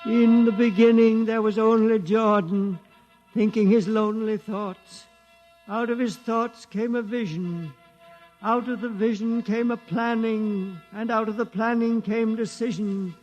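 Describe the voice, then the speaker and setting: A man in a 1950s radio drama playing an aged keeper of a sacred legend, reciting slowly and solemnly. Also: high voice, steady tone, darkish tone, dull audio, faint music behind. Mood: solemn chanting. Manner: slow, intoning recitation. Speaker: old-time radio drama actor.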